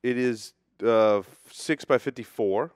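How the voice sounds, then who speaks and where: A man's voice: mid-range voice, slow pace, smooth, dry recording, studio quality. A man co-hosting a casual podcast, giving earnest, emphatic opinions. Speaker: podcast co-host. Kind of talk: casual chat reviewing cigars.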